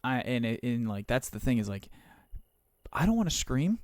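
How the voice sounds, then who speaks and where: A man live-streaming a game, chatting casually with viewers in a rambling, profane, joking way, his voice slightly raspy. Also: mid-range voice, sing-song, moderate pace, a little mellow, no echo, studio quality.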